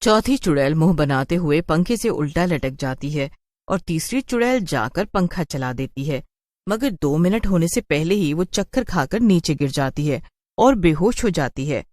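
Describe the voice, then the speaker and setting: A woman storyteller reads a scripted horror cartoon tale in a low-pitched voice. The recording is dry and close, with little room sound. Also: lively intonation, moderate pace, a little mellow, dry recording. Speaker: story narrator.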